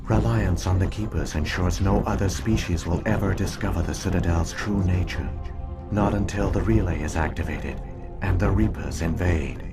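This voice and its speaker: Deep, solemn ancient machine guardian. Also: male voice, over music. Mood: solemn, calm. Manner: measured, formal, grave exposition. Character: ancient damaged alien computer program, calm, solemn guardian. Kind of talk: scripted video game dialogue.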